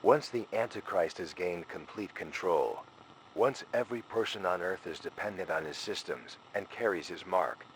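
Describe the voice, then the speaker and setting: A man's voice: low-pitched, slight background noise, low-quality recording. A man playing a frightened scholar who leaves a grave, ominous final warning in a scripted analog horror recording. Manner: grave, ominous, urgent, deliberate phrasing.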